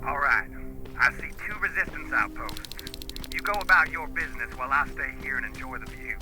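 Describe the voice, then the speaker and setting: An actor playing a cocky, foul-mouthed resistance lookout in a video game, flippant, sneering and slangy. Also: male voice, medium pitch, lively intonation, a little fast, over music, natural voice. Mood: flippant, bored. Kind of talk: video game drama acting.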